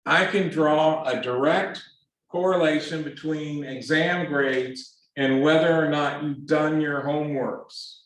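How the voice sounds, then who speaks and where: A man lecturing a math class, speaking in a halting, earnest way with repeated words and many fillers. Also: a little high. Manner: earnest, pleading. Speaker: math instructor. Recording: very echoey classroom, distant mic.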